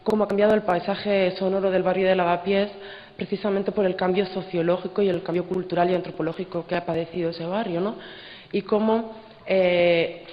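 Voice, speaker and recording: A reflective, hesitant speaker commenting in a public discussion and often seeking agreement. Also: medium pitch. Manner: hesitant, reflective, seeks agreement with tag questions. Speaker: audience member commenting in a congress discussion. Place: large indoor congress hall. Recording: echoey hall, long reverb, dull sound.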